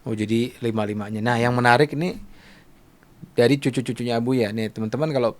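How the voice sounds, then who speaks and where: A man's voice: mid-range voice, fairly even intonation, fast. Middle-aged man, mid-range voice, informal podcast host, chatty interview style. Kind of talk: casual interview chat.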